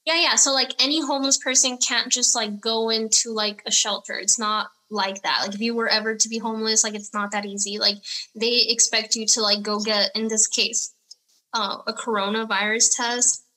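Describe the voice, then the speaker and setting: A woman's voice: a little high, steady tone, moderate pace, sharp tone, poor audio. A woman from Texas and Central America chatting casually and informally as an interview guest, peppering her talk with "like". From born in Laredo, Texas, raised between there and Central America. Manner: informal, heavy use of "like". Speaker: interview guest, homelessness activist. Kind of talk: casual chat.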